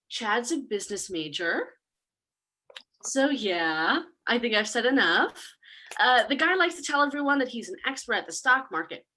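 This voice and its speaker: An actress playing a smug, snarky demon in a comic stage monologue, sarcastic and conversational, boasting about corrupting mortals. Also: female voice, a little high, sharp tone, full character voice. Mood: smugly amused. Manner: sarcastic, conversational, casual swearing.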